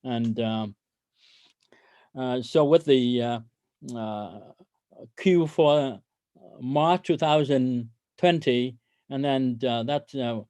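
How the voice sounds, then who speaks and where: A man speaking as a company executive on an investor conference call, halting and hesitant as he presents, often stammering over his words. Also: medium pitch, warm tone, no echo. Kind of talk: corporate earnings call presentation.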